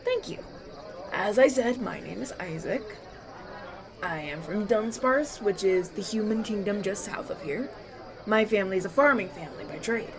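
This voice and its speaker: Mann spielt nervösen, lebhaften jungen Bauernburschen. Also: male voice, sits high, lively intonation, normal speed. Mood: nervous, friendly. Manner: hesitant, earnest, stammering. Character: polite, nervous young human farm boy at elven banquet. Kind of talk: fantasy audio roleplay acting. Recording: banquet crowd chatter behind.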